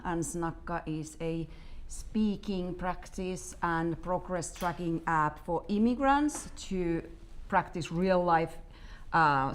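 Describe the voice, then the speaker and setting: Woman, founder, panelist, brisk, articulate, lowish voice. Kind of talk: panel answer.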